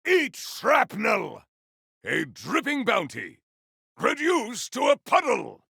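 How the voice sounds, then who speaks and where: An actor playing a bombastic, vengeful warrior hero in a video game, declaiming battle cries in a theatrical, archaic, grandiose way with a gravelly, raspy voice. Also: male voice, high voice, wildly swooping pitch, takes it slowly, pushed and bright, no room sound, raised voice, clean studio sound, exaggerated voice. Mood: grim, brooding. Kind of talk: video game combat barks.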